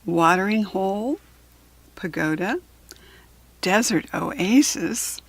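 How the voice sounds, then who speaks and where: An American woman reading a children's book aloud in an expressive, instructive storytelling style. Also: medium pitch, slow, breathy edge, dry recording.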